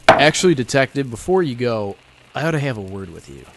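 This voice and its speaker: An actor playing a young speakeasy proprietor with a secret vigilante life in an old time radio drama, speaking in a hesitant, conversational way. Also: male voice, mid-range voice, big pitch swings, fairly quick, very noisy. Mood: uneasy, concerned. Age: young adult.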